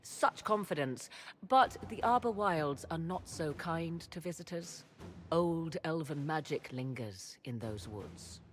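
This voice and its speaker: An actress playing a proud, mysterious sorceress in a fantasy video game, with theatrical, archaic and measured phrasing. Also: female voice, sits low, expressive, a little hiss or hum. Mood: amused, haughty. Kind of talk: dramatic video game acting.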